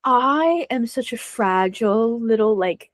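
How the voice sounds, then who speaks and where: A woman's voice: a little high, lively intonation, a little slow, dry recording. Chatty laughing woman, dry sound. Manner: chatty, self-deprecating, laughs often. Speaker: guest actor. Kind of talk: casual interview chat.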